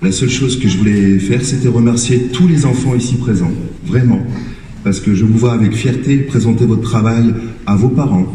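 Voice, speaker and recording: A man's voice: mid-range voice, normal speed, warm tone. A man making an earnest, congratulatory speech of thanks to an audience. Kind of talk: short public speech of thanks. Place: large reverberant indoor public space. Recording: distant echoey hall with faint noise.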